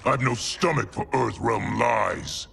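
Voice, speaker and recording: An actor playing a scheming, ambitious warlord in a video game, solemn, formal and self-righteous over dramatic music. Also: male voice, medium pitch, lively intonation, slow pace, gravelly, a bit bright, slightly rough, exaggerated voice. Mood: stern, urgent.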